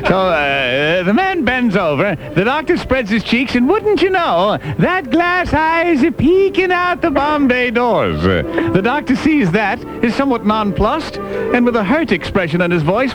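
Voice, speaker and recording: A man voicing a theatrical pub storyteller on the radio, spinning a bawdy comic tale with drawn-out, mock-dramatic delivery. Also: very high-pitched, pitched above the performer's own voice, poor audio.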